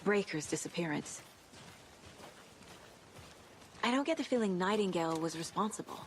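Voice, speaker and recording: A woman playing a focused, intuitive detective in a video game, speaking seriously and deliberately as if thinking aloud. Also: lowish voice, animated, slight background noise, natural voice. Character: focused, intuitive female detective investigating supernatural murders.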